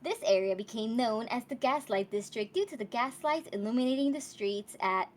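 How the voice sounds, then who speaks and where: A woman's voice: on the high side, normal speed, slight background noise, close to an everyday voice. A woman playing a chirpy but testy, stubborn tour guide with dismissive presenter patter, in a comedy table read. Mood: testy, defensive. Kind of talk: live table read of a comedy radio show.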